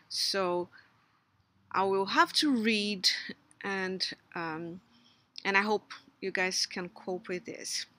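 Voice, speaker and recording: A middle-aged woman with a Brazilian Portuguese accent speaks a memorial tribute, part of it read, full of fillers and restarts. Her voice is bright and clear. She sits outdoors in a garden, close to a webcam, and the sound is dry. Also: medium pitch, no room sound. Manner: hesitant, many fillers and restarts. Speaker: logician giving a recorded tribute. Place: outdoors under a patio umbrella in a garden.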